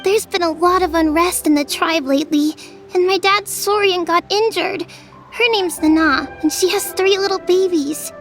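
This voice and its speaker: A woman's voice: very high-pitched, moderate pace, faint music behind. A woman voicing an earnest, anxious young child from a tribe in a video game. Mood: worried.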